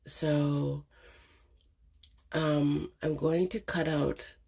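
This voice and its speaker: A middle-aged woman muses aloud in a casual monologue, stuffed up with a cold. Her voice sits low and gravelly, with a husky edge. She speaks very slowly, pausing often and filling gaps with ums. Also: flat delivery, a little mellow. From Canada. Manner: slow, hesitant, many ums and pauses. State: congested with a cold. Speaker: channel host.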